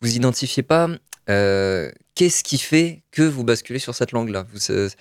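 A man hosting a radio interview, asking questions in a relaxed way with frequent murmured acknowledgements. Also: mid-range voice, normal speed, dry recording, clean studio sound. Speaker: radio host.

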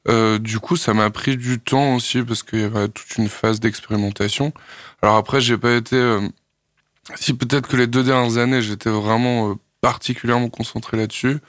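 A young man from France, a singer-songwriter, answering radio interview questions in a thoughtful, hesitant way with many pauses and fillers. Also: medium pitch, steady tone, fairly bright tone, no echo, clean studio sound.

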